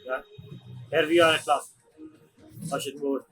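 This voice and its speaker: A man's voice: a little high, steady tone, normal speed, a bit bright. A man streaming a video game, giving casual, informal commentary and reacting aloud.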